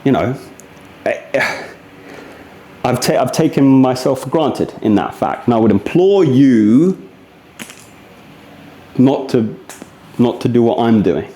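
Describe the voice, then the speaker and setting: Male fitness vlogger, mid-pitched relaxed voice, earnest and conversational, recorded in a roomy, echoing space. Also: a little slow, pauses often, slight background noise. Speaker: fitness vlogger demonstrating rehab exercises. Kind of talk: casual exercise demonstration with candid reflection. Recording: echoey, roomy sound.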